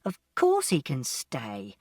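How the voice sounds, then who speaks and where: A distraught mother, as an audiobook narrator acts her out, fiercely protective of her child. The delivery swings widely in pitch, with a dry sound. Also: wildly swooping pitch, takes it slowly, no echo, distant mic. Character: distraught, protective mother, tearful then furious. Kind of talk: audiobook character acting.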